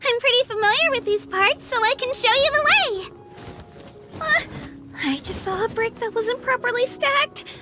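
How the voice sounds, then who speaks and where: A woman's voice: very high voice, lively intonation, a little slow, loud music behind the voice. A woman voicing a timid, earnest young girl miner in a video game, speaking sweetly and a little hesitantly. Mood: shy, helpful, then anxious, flustered. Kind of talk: animated game character voice lines.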